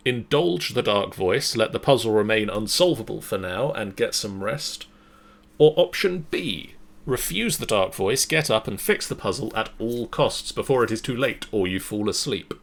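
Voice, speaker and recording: A man serving as game master, narrating a story in a measured, dramatic way and then chatting casually. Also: on the low side, expressive, creaky.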